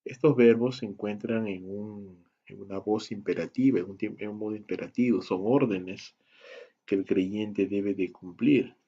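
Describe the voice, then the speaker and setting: A man teaching a Bible study lesson, in a measured, deliberate style that moves through lists and rhetorical questions. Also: lowish voice, warm tone, breathy edge, highs cut off. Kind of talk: slow, deliberate teaching lecture.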